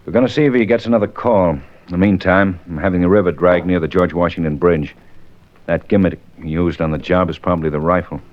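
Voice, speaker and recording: A gruff police detective played by a man with a very low voice, clipped and commanding. He sounds like 1950s radio drama, on a dull, noisy old broadcast recording. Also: steady tone, quick pace, lightly acted. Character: gruff, businesslike male police detective in charge.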